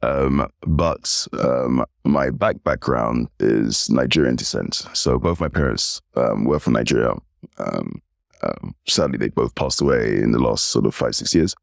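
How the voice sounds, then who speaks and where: English man, forties, podcast guest; animated storyteller, very low voice, dry sound. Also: measured pace, gravelly, dry recording. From United Kingdom. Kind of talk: casual interview chat.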